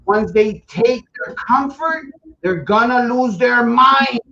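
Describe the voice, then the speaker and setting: A man's voice: extremely high pitch, slow pace, sharp tone, lo-fi sound. A man from Guatemala hosting a casual survival-prep livestream, boastful and joking, often stumbling over his words as he talks to viewers. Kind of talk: casual live-stream chat to viewers.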